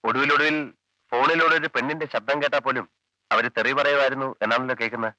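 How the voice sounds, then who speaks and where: A brisk, probing police officer. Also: male voice, medium pitch, steady tone, sharp tone, dry recording, dull audio. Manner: measured, questioning. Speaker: police detective questioning a witness. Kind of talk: 1980s film dialogue. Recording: dry 1980s film soundtrack, faint music.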